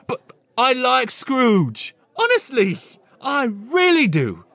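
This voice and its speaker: A man playing a cheerful, good-natured young gentleman with a hearty, chuckling, animated manner in an audio play. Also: extremely high pitch, drawn-out words, no room sound, voice pushed up, heavily acted. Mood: warm, amused. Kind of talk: theatrical audio play of a classic novel.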